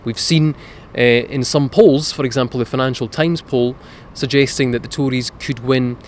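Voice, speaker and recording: A man's voice: mid-range voice, steady tone, normal speed, slight background noise. A man who grew up in Scotland, giving earnest political answers as a candidate in an interview. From born in Italy, raised in Scotland from age seven. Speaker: parliamentary candidate.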